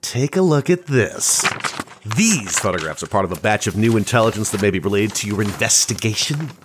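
A man acting in an audio drama as a brisk, authoritative government agency director. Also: fairly low pitch, expressive, background noise, natural voice. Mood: brisk, businesslike.

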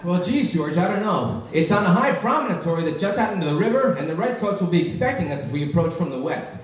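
A man's voice: high voice, expressive, quick pace, far from the mic, pitched below the performer's own voice. A young man playing an eager, goofy general with a casual, sheepish comic delivery in a live radio-style skit. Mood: sheepish, hopeful. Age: young adult. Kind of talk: live old-time radio comedy skit. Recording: very echoey room, off the mic.

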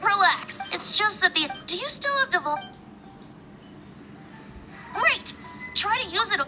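High, breezy young male bard. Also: big pitch swings, measured pace, exaggerated voice. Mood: breezy, explaining. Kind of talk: video game voice acting. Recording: game music over the voice.

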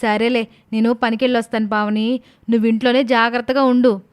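A woman's voice: a little high, level tone, a little fast, clear, resonant tone, close to an everyday voice. Woman playing a fond, teasing village mother. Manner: homely, colloquial, affectionately scolding. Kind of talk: animated moral story dialogue.

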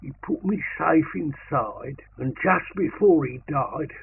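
A man giving a theatrical, measured poetry recitation in a colloquial soldier's vernacular. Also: a little high, lively intonation, vocal fry. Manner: theatrical, measured, with dropped-grammar colloquial delivery. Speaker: poetry reader. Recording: narrow tinny sound, faint noise.

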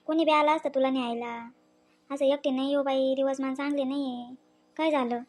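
A woman's voice: high-pitched, steady tone, fairly quick, a little mellow. A woman with a rural Maharashtrian accent playing a worried, scolding village mother in a family story cartoon. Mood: scolding concern. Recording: faint background noise.

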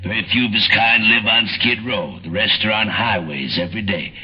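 A man's voice: fairly low pitch, a little fast. A man with an American accent delivering a scripted public service announcement on radio. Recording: muffled old radio aircheck, faint music. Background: faint music.

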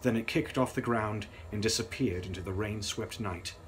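A man's voice: on the low side, moderate pace, a little hiss or hum. A young man reading a gothic novel aloud in a grave, theatrical, dramatic style. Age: young adult. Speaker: author reading his novel.

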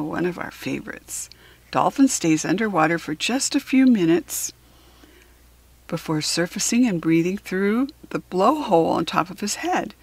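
American woman, children's book reader. Slow, instructive, chatty asides, dry close sound. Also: fairly low pitch, gravelly, husky, dry recording. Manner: deliberate, instructive, simply explaining to a young listener.

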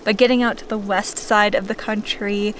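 A woman's voice: medium pitch, little pitch movement, moderate pace, slight background noise, poor audio. A young woman from New York narrating and chatting about a long van road trip with her partner. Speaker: van life vlogger. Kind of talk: scripted narration.

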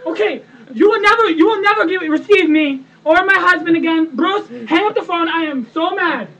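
A man's voice: very high voice, normal speed, fairly bright tone. A young man voicing a whiny, dramatic, indignant wife on a prank phone call. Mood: indignant, shrill. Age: young adult. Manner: whiny, dramatic, over-the-top. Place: indoor room with friends around. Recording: muffled, noisy webcam microphone.

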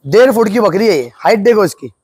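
High-voiced man selling goats outdoors. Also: expressive, fairly quick, a little mellow, husky, no echo, studio quality. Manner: pointing out features, eager selling. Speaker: goat farm seller. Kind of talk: casual sales walkthrough. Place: outdoor goat pen on a farm.

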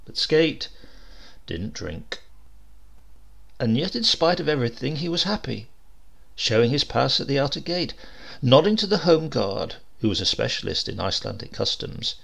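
A man's voice: medium pitch, very animated, moderate pace, vocal fry, long pauses, a bit bright, breathy edge. A man reading a comic short story aloud as narrator, in a dry, wry storytelling manner. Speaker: audiobook reader.

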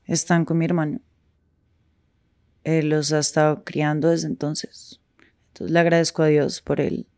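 A woman speaking frankly in a street interview, with halting pauses and restarts. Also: low voice, flat delivery, darkish tone, no room sound, clean studio sound.

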